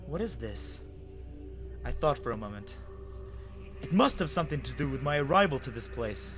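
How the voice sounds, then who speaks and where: A man reading a scripted story aloud as a dramatic narrator. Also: medium pitch. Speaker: narrator and author of a fan fiction reading.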